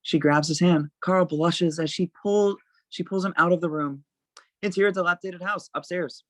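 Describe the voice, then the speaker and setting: A narrator reads stage directions for a script table read. The delivery is plain and descriptive, in a mid-pitched voice with a very dry, close sound. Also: normal speed, fairly bright tone, no echo.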